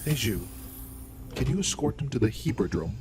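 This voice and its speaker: A man playing a calm alien doctor in a science fiction audio drama, explaining things in a measured, reassuring way. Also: mid-range voice, very noisy, natural voice.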